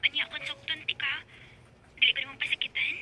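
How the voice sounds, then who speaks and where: Woman playing a very high-pitched, coy girlfriend. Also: flat delivery, quick pace, noisy background, lightly acted. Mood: teasing, sweet. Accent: Filipino. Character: sweet, coy young girlfriend. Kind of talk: Filipino radio drama acting. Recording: loud music over radio drama voice.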